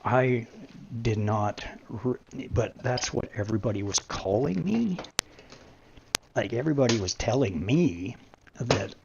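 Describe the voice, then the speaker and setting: Deep gravelly middle-aged guy lecturing on stream, kinda rambly, muffled lo-fi sound. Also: lively intonation, a little slow, background noise. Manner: preachy, rambling, emphatic when making a point. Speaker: livestreamer. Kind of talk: casual chat while gaming on stream. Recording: dull lo-fi stream audio.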